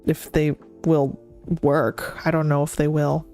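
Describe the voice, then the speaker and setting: A young adult woman streaming as a VTuber, talking in a chatty, rambling way with many fillers and restarts. Her voice is fairly deep. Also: level tone, measured pace, dark tone. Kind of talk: casual chat stream. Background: faint background music.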